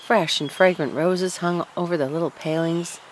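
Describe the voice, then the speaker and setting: A woman narrating a scripted storybook tale in an expressive, deliberate storytelling manner. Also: lowish voice, a little hiss or hum. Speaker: audiobook narrator.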